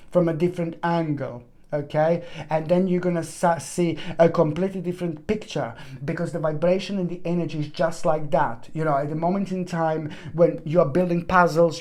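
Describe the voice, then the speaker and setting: A woman's voice: on the low side, a little mellow. Gushing woman giving a tarot forecast. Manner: gushing, effusive, many fillers and restarts; addresses listeners as "my gorgeous" sign. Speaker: tarot reader. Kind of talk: weekly tarot forecast to camera.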